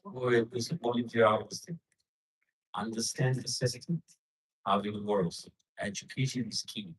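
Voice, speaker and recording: A man's voice: medium pitch, steady tone, a little slow, slightly rough, low-quality recording. A man on a panel answering an audience question with direct, encouraging advice. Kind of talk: public Q&A answer.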